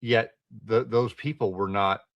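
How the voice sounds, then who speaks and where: A man giving sports analysis in a measured, hedging way, thinking his points through aloud. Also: lowish voice, fairly even intonation, a bit bright, no room sound, muffled recording.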